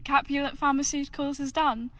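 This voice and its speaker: A woman's voice: sits high, little pitch movement, fairly quick, a bit bright. A young woman playing a defiant, idealistic eighteen-year-old in an audio drama. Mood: sombre. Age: young adult. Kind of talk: dystopian audio drama acting.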